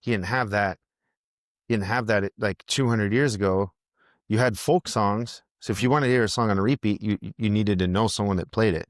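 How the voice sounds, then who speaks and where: A low-pitched man talks about music in a loose, unhurried podcast chat. He circles his point, restarting sentences as he thinks. The sound is dry and close. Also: dry recording. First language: English. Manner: rambling, thinks aloud with many restarts. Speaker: musician guest. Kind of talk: casual podcast conversation.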